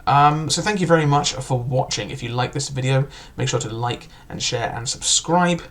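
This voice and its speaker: A young man talks through a book review in an unpolished, conversational way, leaning on "like" and "um" as he hunts for his point. His pitch sits in an ordinary middle range for a man. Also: normal speed, fairly bright tone. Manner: chatty, full of "like" and "um" fillers. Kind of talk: casual spoken book review.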